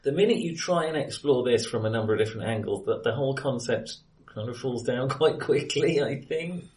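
Heard a little far from the microphone in an echoey room, a man chats about a film as a co-host. His voice is mid-pitched, and he speculates casually, posing questions as he goes. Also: animated, fairly quick, a little mellow. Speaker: podcast co-host. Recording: roomy, distant from the mic.

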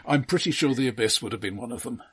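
A middle-aged British man telling anecdotes casually as a podcast co-host, in a halting, precise way. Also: medium pitch, expressive, fairly bright tone, breathy edge. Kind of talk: casual chat, personal anecdotes.

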